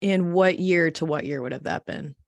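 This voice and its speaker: A woman's voice: fairly low pitch, normal speed, a little mellow, no room sound. A woman working as a podcast interviewer, asking questions in a casual, conversational way.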